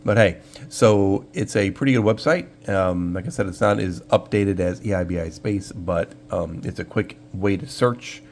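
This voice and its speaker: A man's voice: sits low, moderate pace, hardly any pauses. A man casually hosting a screen walkthrough, explaining things step by step in an informal, easygoing way. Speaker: host of a shortwave radio channel. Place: indoor room, filming a computer screen. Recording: clear steady hum and background noise.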